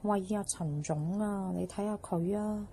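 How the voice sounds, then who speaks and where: A woman with a Hong Kong Cantonese accent playing a sly, flattering office schemer who needles and whines in a radio drama. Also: mid-range voice, a little hiss or hum, natural voice. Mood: indignant. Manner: whiny, needling, drawn-out sentence endings.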